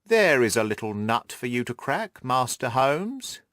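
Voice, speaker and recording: A man voicing a gruff, irritable, cocksure police detective in an audiobook, blustering and snappish. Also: medium pitch, big pitch swings, unhurried, fairly bright tone, no room sound, studio quality. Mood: smug, bitter. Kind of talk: audiobook character dialogue.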